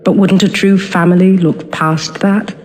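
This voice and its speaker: Brooding game loner monologue, solemn and halting, highish voice, big reverb, faint noise. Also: male voice, level tone, darkish tone. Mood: bitter, brooding. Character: brooding, wounded outsider telling his inner thoughts. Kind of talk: reflective dramatic monologue in a video game. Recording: long cavernous reverb, faint ambient noise.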